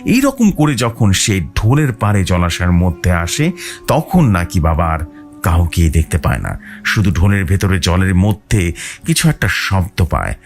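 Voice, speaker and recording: Low storyteller with dramatic swings. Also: male voice, big pitch swings, unhurried, soft background music. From West Bengal, India. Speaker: horror story narrator. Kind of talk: dramatic scripted horror narration.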